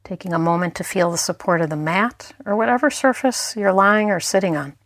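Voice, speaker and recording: A woman mindfulness instructor narrating a guided meditation in a gentle, unhurried way, leaving pauses between phrases. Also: mid-range voice, no room sound, clean studio sound.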